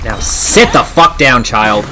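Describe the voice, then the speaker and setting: A man chatting casually while gaming, wry and quick to swear. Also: mid-range voice, lively intonation, over music, poor audio. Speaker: co-streaming gamer.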